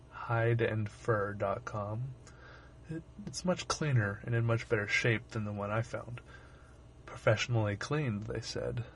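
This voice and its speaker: A young man with a sore, hoarse throat, narrating his own art video in a rambling, wry, self-deprecating way. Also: lowish voice, slight background noise. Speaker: artist and channel host. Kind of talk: casual voice-over narration.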